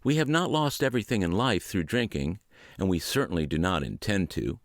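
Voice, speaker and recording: Doubtful drinker, confident and dismissive, lively lines, put on by a male reader. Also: low-pitched, a little fast, dry recording, studio quality. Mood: self-assured. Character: doubtful drinker insisting he's different.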